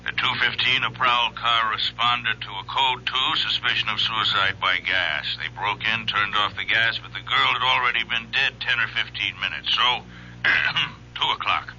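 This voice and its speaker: A man's voice: lowish voice, fairly even intonation, moderate pace, bright, forceful tone. An actor playing a businesslike, weary male homicide cop in an old radio drama, speaking in terse, matter-of-fact phrases. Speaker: police detective. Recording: muffled, hissy old radio recording.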